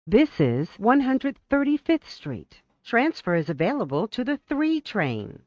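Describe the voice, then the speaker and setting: An announcer reads scripted subway announcements slowly and formally, with big swings in pitch. The words are measured and carefully enunciated. The sound is dry and somewhat dull. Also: wildly swooping pitch, no echo. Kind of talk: scripted automated transit announcements.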